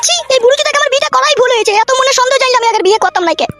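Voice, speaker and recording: A man voicing a scheming, foul-mouthed village mother in an amateur comedy cartoon, with a rural West Bengali accent, a dramatic scolding manner and a pitched-up voice. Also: lively intonation, very fast, pushed and bright, full character voice. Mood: indignant.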